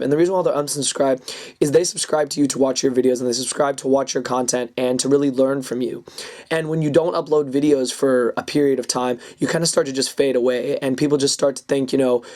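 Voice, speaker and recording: A young man with a raspy voice he is losing, hosting a casual advice vlog and talking loosely with lots of ums and uhs. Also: mid-range voice, darkish tone. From California. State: hoarse, losing his voice. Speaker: YouTube card game channel host.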